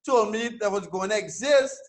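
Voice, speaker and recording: A man's voice: high-pitched, moderate pace. A man in his thirties from Trinidad and Tobago, a guest telling his story casually, swearing and repeating words. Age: 30s. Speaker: guest entrepreneur.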